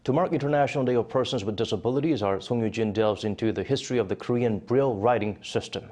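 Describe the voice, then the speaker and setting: A man anchors the news with a scripted introduction. He reads very quickly in a mellow, medium-pitched voice, moving straight through each sentence.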